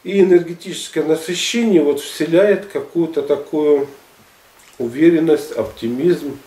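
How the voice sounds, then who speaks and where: A man in his sixties talking casually to camera in a halting, emphatic, colloquial way. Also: on the high side, mellow. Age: 60s. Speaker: health and fitness vlogger. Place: furnished living room at home, indoors. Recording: echoey room, camera at a distance.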